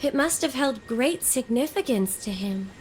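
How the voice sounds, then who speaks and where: A woman playing a gentle, curious young woman in a video game, telling legends in a deliberate, formal storybook manner. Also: high voice, lively intonation, slight background noise, rough recording. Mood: curious, intrigued.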